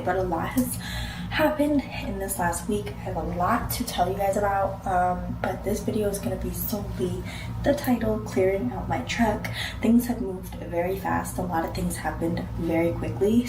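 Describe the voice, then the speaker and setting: A woman truck driver vlogging to camera, chatty and rambling, with a raspy voice from being sick. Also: fairly low pitch, echoey room, background noise. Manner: chatty, rambling, says y'all. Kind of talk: casual vlog talking to camera. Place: in and around her truck.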